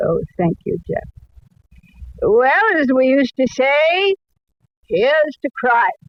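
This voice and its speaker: A woman's voice: sits high, very animated, slow, heavily acted. A woman playing a gushing, grandiose, tippling older former actress in a 1940s radio drama. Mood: confiding, tipsy. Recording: dull vintage radio recording.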